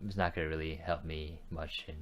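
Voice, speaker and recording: Deep-voiced male co-host, measured and precise, casual podcast. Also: completely flat delivery. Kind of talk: casual chat.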